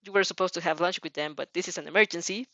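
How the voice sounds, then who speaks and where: Girl reading fanfic out loud, giggly and expressive, chatty asides, kinda muffled dry mic. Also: fairly low pitch, rapid-fire, dry recording. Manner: giggly, expressive, breaks off to comment. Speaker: fan fiction narrator and reactor. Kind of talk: dramatic reading with casual reactions.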